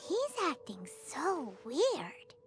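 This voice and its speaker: A woman voicing a tiny, cheeky, skeptical fairy companion with a squeaky childlike voice in a video game. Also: wildly swooping pitch, extremely slow, over loud music, heavily acted. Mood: puzzled. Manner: blunt, speaks of self in third person.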